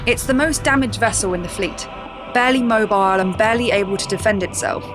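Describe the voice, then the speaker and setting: A woman performing composed, measured scripted narration of a fleet battle for a sci-fi audio drama. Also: medium pitch, music in the background, close to an everyday voice. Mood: composed, serious.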